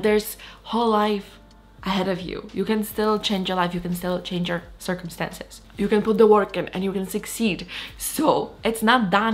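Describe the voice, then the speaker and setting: A woman in her thirties from Poland, giving frank, blunt motivational talk straight to camera. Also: medium pitch. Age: 30s. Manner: frank, blunt, swears casually. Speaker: lifestyle vlogger.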